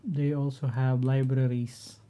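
A middle-aged man with a Filipino accent, talking through his home network setup in a halting way, often clearing his throat. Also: medium pitch, little pitch movement, warm tone. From Philippines. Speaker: tech vlogger. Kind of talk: casual screen-share walkthrough.

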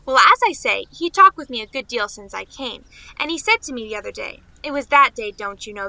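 A woman's voice: on the high side, bright, forceful tone, dry recording, rough recording. A woman playing a naive, eager young niece in a radio play, chattering on in rambling run-on sentences.

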